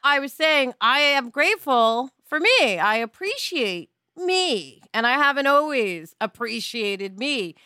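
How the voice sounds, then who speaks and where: Female podcast host, very bright tone, dry sound, informal and candid delivery. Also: high-pitched, big pitch swings, a little slow, hardly any pauses, smooth, no room sound. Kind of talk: casual conversational podcast chat.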